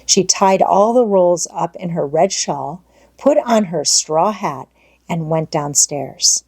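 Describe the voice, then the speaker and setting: Mellow woman narrating a children's story. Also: fairly low pitch, expressive, slow, gravelly, slightly rough. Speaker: audiobook narrator.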